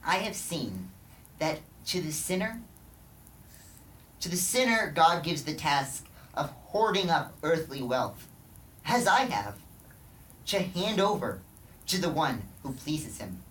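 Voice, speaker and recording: A young man playing a weary, broken teacher-king in a theatrical biblical monologue, declaiming with dramatic pauses and emphatic repetition. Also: a little high, very animated, a bit bright, full character voice. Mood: solemn. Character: weary, broken old teacher-king lamenting life's emptiness. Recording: distant mic, faint background noise.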